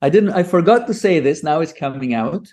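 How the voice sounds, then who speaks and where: A man's voice: a little high, animated, brisk, a little mellow. A man from Greece, a native Greek speaker, talking casually as a podcast guest, rambling with many restarts. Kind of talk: casual conversation.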